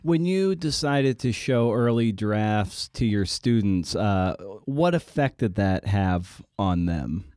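Low-voiced man hosting a podcast interview. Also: expressive, a little mellow, dry recording, clean studio sound. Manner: halting, rambling, thinking aloud. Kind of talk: casual interview questioning.

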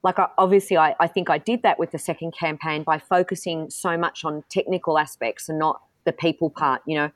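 A self-assured woman in middle age answers interview questions in a candid, forthright way. Her voice sits a little low, and she often restarts her sentences. The recording is close and dry, with no room sound. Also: fairly even intonation, brisk, few pauses, dry recording. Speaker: politician and guest.

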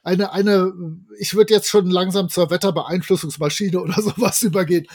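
A man's voice: high-pitched, fairly even intonation, moderate pace, darkish tone. A man, co-hosting a podcast in casual chat with a playful, tongue-in-cheek manner. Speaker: podcast co-host. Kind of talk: casual chat reviewing an audio drama.

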